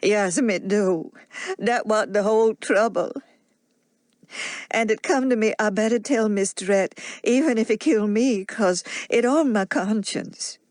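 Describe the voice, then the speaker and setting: A woman's voice: mid-range voice, unhurried, darkish tone, no room sound, clean studio sound, natural voice. A woman voicing a devoted, frightened old nanny with a heavy Southern US accent and plainspoken dialect. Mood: distressed.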